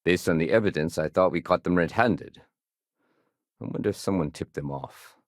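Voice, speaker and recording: A man playing a steady, dutiful security sergeant in a video game, speaking conversationally with official firmness and dry wit. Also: sits low, normal speed, no echo. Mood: businesslike. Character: steady, dutiful male spaceport security sergeant, dryly wry.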